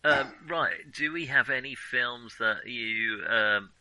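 A man's voice: mid-range voice, slow pace, pushed and bright, dry recording, poor audio. A man in his forties co-hosting a podcast, talking films casually in a hesitant, self-questioning, rambling way. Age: 40s. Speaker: podcast co-host. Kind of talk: casual film discussion.

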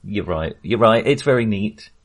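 A man's voice: lowish voice, expressive, normal speed. A man co-hosting a casual film discussion in a conversational, hedging manner. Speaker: film podcast co-host.

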